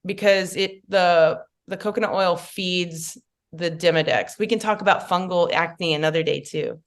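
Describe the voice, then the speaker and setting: A woman's voice: lowish voice, moderate pace. A woman makeup artist, chatting casually as a podcast guest with many fillers and asides.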